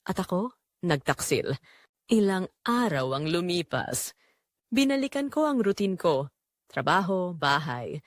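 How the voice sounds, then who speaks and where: A woman reads a first-person confessional drama. Her mid-pitched voice moves with lively intonation. The sound is dry, with very little room around it. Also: no echo. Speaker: narrator of an audio drama, reading as the wife. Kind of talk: scripted first-person confessional story narration.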